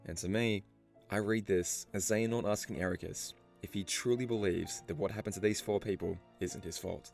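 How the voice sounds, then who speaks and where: A man reading scripted story explainer narration in a measured, storytelling delivery. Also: on the low side, fairly even intonation, creaky. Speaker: video narrator. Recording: music behind the voice. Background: background music.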